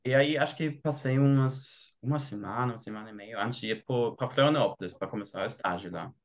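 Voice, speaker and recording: Podcast guest, young German man, learned Portuguese, accented, searches for words, steady mid voice. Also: mid-range voice, fairly quick. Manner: searches for words, many "tipo" fillers. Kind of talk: casual conversation.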